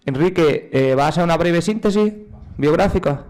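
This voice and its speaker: A man's voice: on the high side, moderate pace, darkish tone. A man moderating a public tribute event, joking casually and handing over to speakers.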